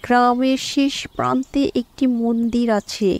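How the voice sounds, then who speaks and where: A woman voicing a helpful female fairy in a cartoon, calmly explaining and instructing. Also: fairly high pitch, measured pace, no room sound. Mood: calm instruction.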